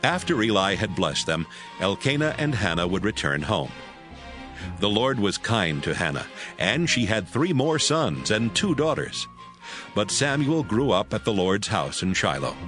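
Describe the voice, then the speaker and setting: A man's voice: lowish voice, fairly bright tone. A man narrating a scripted story for an audio drama.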